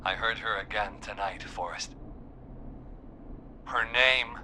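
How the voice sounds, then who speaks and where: An actor playing a wounded, guilt-ridden small-town man in a video game, weary and halting as he confesses over a call. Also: on the low side, bright, forceful tone, a little hiss or hum, exaggerated voice. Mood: grieving, guilty. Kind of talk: dramatic video game voice acting.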